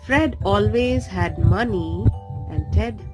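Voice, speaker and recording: A woman reading a bedtime story aloud for young children in a deliberate, expressive way. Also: medium pitch, over music.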